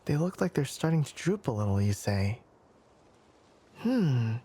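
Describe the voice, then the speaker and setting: A man playing a flustered, formal young fox-eared forest scholar in an intimate ASMR anime roleplay, hesitant and stammering, often slipping into a breathy whisper. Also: mid-range voice, very animated, measured pace, dark tone. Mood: woozy, uneasy.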